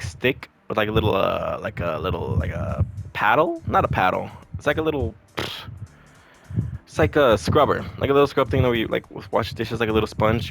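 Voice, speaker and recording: A man's voice: on the low side, vocal fry, slight background noise. A young man venting about his boss in a rambling, profane storytime, talking to a camera at home. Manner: profane, rambling, animated retelling. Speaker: vlogger.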